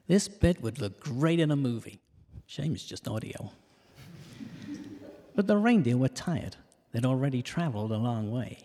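A man playing a cheeky, self-mocking detective who narrates a comic audio drama in rhyme, landing puns deadpan. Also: mid-range voice, normal speed. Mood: wry storytelling. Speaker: narrator and lead character. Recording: reverberant hall sound.

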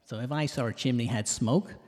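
A man playing a cheeky, self-mocking detective who narrates a comic audio drama in rhyme, landing puns deadpan. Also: medium pitch, fairly quick, lightly acted. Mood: wry, cheeky. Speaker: narrator and lead character. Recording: reverberant hall sound.

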